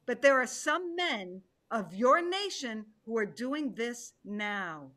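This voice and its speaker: A woman's voice: a little high, big pitch swings, takes it slowly, fairly bright tone, full character voice. A woman voicing a stern, wrathful tigress in a play reading, with a commanding, declarative manner. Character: stern, wrathful female tiger who leads the council. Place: home room, video call.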